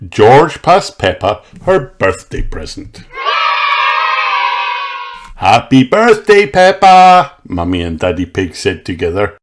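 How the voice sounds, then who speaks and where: A man reading a children's picture book aloud in a slow, expressive storytelling way. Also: deep voice, raised voice, a little hiss or hum. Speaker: storybook narrator. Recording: narration over background music. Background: background music.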